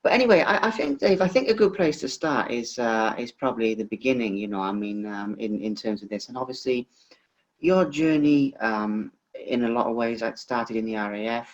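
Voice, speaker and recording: A man with a mid-pitched voice, interviewing informally. His delivery is effusive and wanders as he builds up to a question. The recording is slightly dull and lo-fi. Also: expressive, normal speed. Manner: rambling, effusive. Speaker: interviewer, host.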